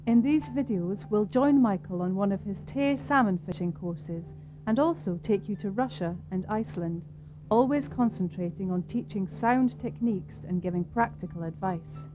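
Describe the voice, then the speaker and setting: A woman reading scripted instructional narration in a measured, formal way. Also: medium pitch, poor audio. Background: music.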